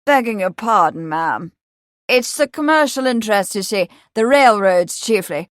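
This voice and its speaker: Woman voicing a brash, jaunty young gentleman motorist. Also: medium pitch, animated, normal speed, no echo, studio quality, natural voice. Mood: emphatic, indignant. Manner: emphatic, jaunty, old-fashioned gentlemanly slang. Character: brash, genial young upper-class male motoring enthusiast.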